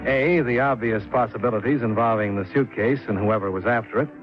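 An actor playing a cool, shrewd insurance investigator in a 1950s radio drama, speaking and narrating in a clipped, dry, matter-of-fact way. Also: male voice, medium pitch, fairly quick, close to an everyday voice. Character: cool, shrewd freelance male insurance investigator, wry and self-reliant. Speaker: lead actor and narrator of a radio detective serial. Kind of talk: 1950s radio drama acting, first-person hard-boiled narration. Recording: muffled 1950s radio recording with music.

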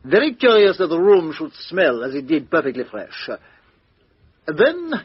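An actor playing a fussy, precise middle-aged Belgian detective, in 1950s radio drama style. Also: male voice, fairly high pitch, wildly swooping pitch, unhurried, husky, dry recording, exaggerated voice. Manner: precise, theatrical, drops to a hush. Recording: dull old radio recording.

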